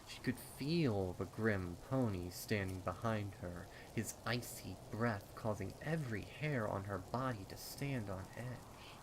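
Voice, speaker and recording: A man narrating a dark horror story in a dramatic, ominous style with deliberate phrasing. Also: on the low side, background noise. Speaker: fan fiction narrator. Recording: rain and storm ambience behind. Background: rain.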